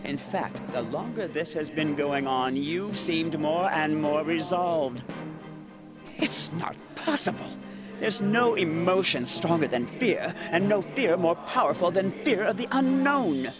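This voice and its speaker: An ancient, haughty male horror delivers theatrical video game menace. The voice sits fairly high and swings widely in pitch, with pompous, taunting phrasing. Music plays clearly behind it. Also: expressive, unhurried. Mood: incredulous, rattled. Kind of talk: dramatic video game voice acting.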